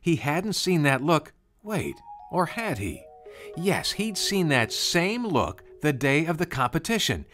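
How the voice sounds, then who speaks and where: A man narrating a scripted children's story in an expressive, conversational way. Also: on the high side, measured pace, soft background music. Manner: conversational, expressive, rhetorical questions.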